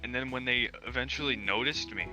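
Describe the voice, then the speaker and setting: A man's voice: mid-range voice, brisk, music in the background, low-quality recording. A young man casually telling an anecdote to friends, crude and full of swearing. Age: young adult. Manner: crude, profane.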